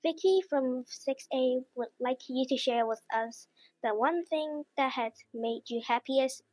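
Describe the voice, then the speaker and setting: A young student reading out interview questions in a polite, scripted way. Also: female voice, high voice, unhurried, a little mellow, no room sound.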